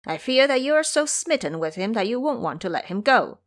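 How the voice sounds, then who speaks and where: Woman voicing a cocky, animated monkey king. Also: on the high side, fairly quick, voice pushed up. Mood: firm. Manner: boastful, preachy, theatrical. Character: cocky, teasing male monkey king, small but sturdy. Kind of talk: audio drama acting.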